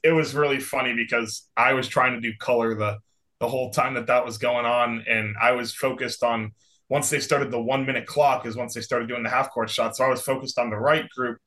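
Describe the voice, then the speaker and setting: Young guy cohost, bright sharp voice, animated storyteller. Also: mid-range voice, fairly quick. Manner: animated storyteller, acts out quotes. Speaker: podcast co-host. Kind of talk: casual chat.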